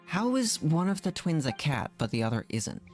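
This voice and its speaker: Casual male game streamer with lively pitch. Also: normal speed, faint music behind. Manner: informal, muses aloud with questions. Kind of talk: casual live commentary, reading dialogue choices aloud.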